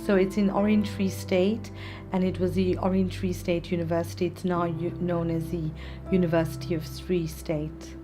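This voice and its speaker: Low, flat-voiced South African-born woman. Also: over music. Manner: earnest, measured explanations. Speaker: psychiatrist guest. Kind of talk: interview answers.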